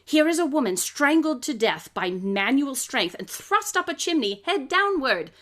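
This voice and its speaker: An actress playing a brilliant, coolly confident detective who lectures with precise, theatrical diction in an audio drama. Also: female voice, sits high, big pitch swings, normal speed, a bit bright. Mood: intense, dramatic. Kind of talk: live audio drama acting.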